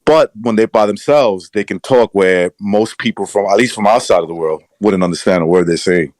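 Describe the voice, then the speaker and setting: A man with a blended Southern and New York American accent tells rambling, candid stories on a podcast. His voice sits lowish. The sound is dry and close. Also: brisk, a little mellow, dry recording. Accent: mixed Southern and New York American. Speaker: podcast co-host. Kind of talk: casual chat.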